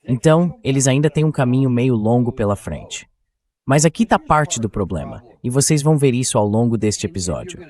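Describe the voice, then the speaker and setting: A man's voice: medium pitch, sing-song, fairly quick, a little mellow. Blunt Brazilian man giving sarcastic commentary. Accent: Brazilian Portuguese. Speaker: talk show host and political commentator. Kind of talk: opinionated news commentary.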